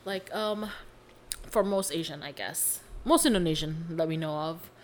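A woman's voice: low-pitched, moderate pace, a little hiss or hum. A young woman co-hosting a podcast in casual conversation, with an informal, playful, self-deprecating way of talking. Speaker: podcast co-host. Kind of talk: casual chat.

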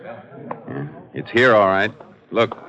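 Methodical Texas lawman, deep and terse, vintage radio crime drama, dulled recording. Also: animated, bright, forceful tone, full character voice. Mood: businesslike, deliberate. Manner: terse, deliberate, folksy clipped phrasing. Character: calm methodical male Texas lawman investigating a killing. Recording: dull, noisy old radio recording.

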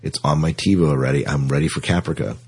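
A man's voice: very deep voice, steady tone, quick pace, a little mellow, slightly rough. A man hosting a podcast, chatting casually and enthusiastically as a science fiction fan, often repeating himself for emphasis. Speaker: podcast host. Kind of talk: casual fan discussion of sci-fi TV.

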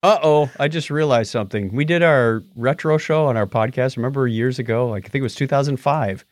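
A man's voice: mid-range voice, brisk, no room sound, studio quality. A middle-aged man co-hosting a long-running tech podcast in a casual, jokey chat. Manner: animated, jokey, reads out patron names. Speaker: podcast co-host.

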